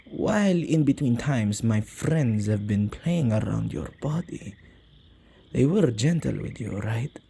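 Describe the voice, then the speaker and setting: A man playing a possessive yet soft-hearted male witch in an intimate ASMR roleplay, speaking gently and haltingly in slightly archaic phrasing. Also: expressive, slight background noise. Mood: gentle, apologetic. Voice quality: low, husky, creaky voice.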